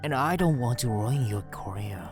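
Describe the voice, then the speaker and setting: A man's voice: medium pitch, animated, normal speed, close to an everyday voice. A man voicing an anxious young boyfriend narrator, music behind. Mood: regretful. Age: young adult. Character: anxious, guilt-ridden young office worker boyfriend. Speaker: narrator and lead character. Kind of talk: scripted manga dub narration.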